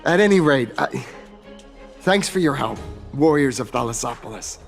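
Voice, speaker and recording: An actor playing an excitable, bashful young explorer in a video game, stammering eagerly as he talks. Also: mid-range voice, moderate pace, gravelly, soft background music. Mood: disappointed, gracious. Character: excitable, bashful young male would-be explorer of a holy city. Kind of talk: video game character acting.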